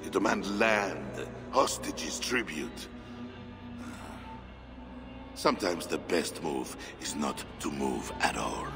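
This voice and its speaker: An actor playing a scheming, sneering Frankish king who talks slowly and deliberately. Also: rumbling low, lively intonation, music in the background, full character voice. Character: scheming portly Frankish king, menacing, mocking. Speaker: king Charles. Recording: game score under a long-reverb hall.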